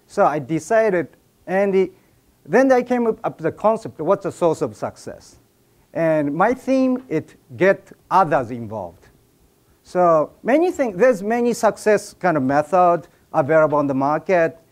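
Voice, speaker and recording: A man with a Japanese accent gives a humorous talk to a live audience, getting people to join in. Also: high voice. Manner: jokey, drives audience participation. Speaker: speaker at an event. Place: event room with an audience.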